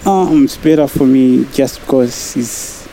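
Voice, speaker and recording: Mellow-voiced man, halting replies. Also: medium pitch, slight background noise, low-quality recording. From Uganda. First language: Luganda. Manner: halting, agreeable, fills with yeah and um. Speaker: passerby interviewed on the street. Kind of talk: casual street interview. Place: outdoors on a city sidewalk.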